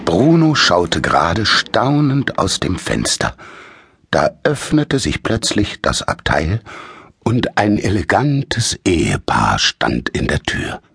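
Deep gravelly man telling a children's story. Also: no room sound. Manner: slow, expressive, dramatic storytelling. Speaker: audiobook narrator. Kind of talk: scripted children's story narration.

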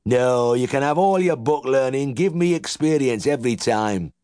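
A man's voice: medium pitch, expressive, normal speed, darkish tone, no echo, clean studio sound, voice pushed up, natural voice. A man voicing a smug little old male farmer with a nasal West Riding Yorkshire twang, forever praising another vet. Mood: needling boastful.

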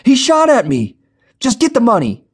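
Gun-waving male robber in a storybook reading, voiced by a man, loud, crude and commanding, pitched up when he bellows, his tone swinging wildly as he barks orders and threats. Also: big pitch swings, a little slow, slightly rough. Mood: shouting. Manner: shouting, vulgar, commanding. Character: violent male bank robber yelling orders.

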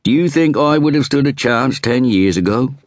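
A man voicing a brash, self-assured Scotland Yard inspector with an East Anglian drawl and drawn-out vowels, in a swaggering, teasing manner for an audiobook. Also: mid-range voice, expressive, no echo, studio quality, voice pushed up, natural voice. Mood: boastful. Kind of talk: audiobook character voice.

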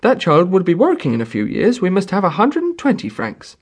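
A shrewd storybook peasant woman, put on by a man. Her voice is slightly high and husky. She bargains firmly and practically, weighing each phrase. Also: wildly swooping pitch, brisk, full character voice. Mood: calculating.

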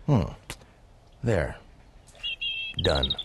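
Low-voiced easygoing cowboy. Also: male voice, big pitch swings, extremely slow, noisy background, full character voice. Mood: playful, tender. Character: easygoing young cowboy drifter narrating his own story. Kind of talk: first-person western audio drama narration.

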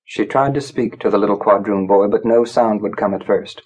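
A man reads literary fiction as an audiobook narrator. His voice is fairly low and a touch husky, and he tells it gravely, in measured dramatic phrases. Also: darkish tone, low-quality recording.

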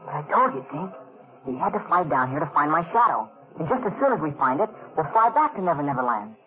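A man's voice: on the high side, very animated, fast talker. A man voicing a cocky, impatient storybook boy in an old radio play, blunt and bossy. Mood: brisk, impatient. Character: cocky, impatient, adventurous storybook boy who never grows up. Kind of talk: old-time radio acting. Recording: narrow-band old radio recording, loud music.

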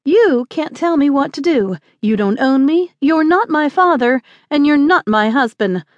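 A headstrong young schoolmarm played by a woman narrator in an audiobook western. Her voice is high and haughty, defiant and indignant in tone. It is recorded dry and close. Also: animated, normal speed, no room sound, dull audio. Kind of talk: acted audiobook dialogue.

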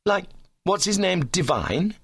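A man's voice: mid-range voice, big pitch swings, a little fast, a bit bright, breathy edge, no room sound, studio quality, voice pushed up, heavily acted. A man playing a lovestruck young male golfer, breezy, slangy and earnest, in a comic story reading. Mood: sheepish, sullen. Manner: breezy, earnest, indignant when rebuffed.

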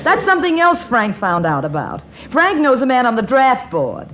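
A sardonic radio sister, very animated. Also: female voice, on the high side, moderate pace. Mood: mocking. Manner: sardonic, knowing. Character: wry, skeptical married older sister, blunt and scornful. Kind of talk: 1940s radio comedy acting. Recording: dull, noisy vintage radio recording.

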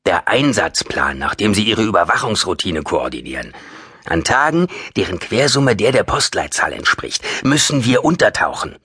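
A man's voice: on the low side, very animated, normal speed, bright, forceful tone, slightly rough, dry recording, clean studio sound, full character voice. A man voicing a short, chatty, paranoid homeless man in an audiobook, rambling insistently and nervously. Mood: agitated, conspiratorial.

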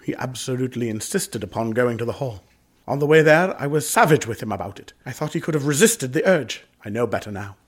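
A man acting a doomed, anguished gentleman in a radio drama, with husky voice and expressive old-fashioned upper-class phrasing. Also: mid-range voice, fairly quick. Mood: haunted, grave. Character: doomed gentleman haunted by a family suicide curse, anguished.

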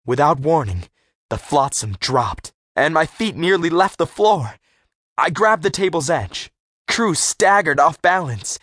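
A man's voice: on the high side, big pitch swings, unhurried, a bit bright, no echo. Man narrating an adventure audiobook, close and dry. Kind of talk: scripted first-person storytelling for young readers.

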